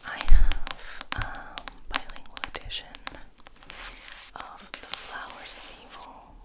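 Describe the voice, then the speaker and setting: Slow whispering woman, ASMR style. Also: low-quality recording. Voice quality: breathy, unvoiced whisper. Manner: slow, halting, gentle pauses between short phrases. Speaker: host of an ASMR channel. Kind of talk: ASMR, soft whispered rambling.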